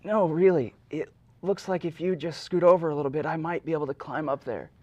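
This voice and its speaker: A man's voice: on the high side, steady tone, brisk, warm tone, no echo, lo-fi sound, natural voice. A man playing an earnest young man in icy water, pleading in a parody dramatic reading. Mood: exasperated, begging.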